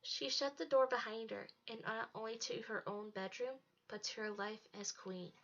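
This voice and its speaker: A woman's voice: a little high, fairly even intonation, normal speed, fairly bright tone. A woman narrating a storybook as a read-aloud. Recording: dull lo-fi home recording.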